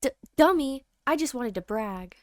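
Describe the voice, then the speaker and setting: A woman's voice: high voice, expressive, moderate pace, darkish tone, dry recording, pitched above the performer's own voice. A woman voicing a cocky, teasing teenage boy, a loyal best friend in a game, with brash, slangy delivery.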